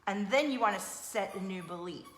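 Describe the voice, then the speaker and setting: A woman's voice: medium pitch, a little fast. A woman working as an energy coach, talking casually with a client in an affirming, explanatory way. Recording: echoey room with background noise.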